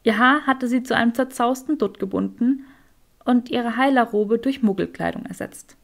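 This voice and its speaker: A woman narrates a fiction audiobook. Her voice sits somewhat high, and she reads scripted storytelling prose. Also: normal speed, gravelly.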